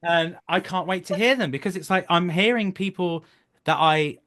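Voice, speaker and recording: A man's voice: sits high, moderate pace, bright, forceful tone, a little hiss or hum. A man speaking as an interview guest in casual conversation, earnest and emphatic, repeating phrases for stress. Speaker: guest, voice actor.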